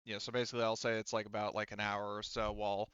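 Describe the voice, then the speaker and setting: A man working as game master, narrating a tabletop roleplaying game in a halting, informal way. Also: lowish voice, little pitch movement, a bit bright, clear, resonant tone, no echo, muffled recording. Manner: halting, informal, talks around points.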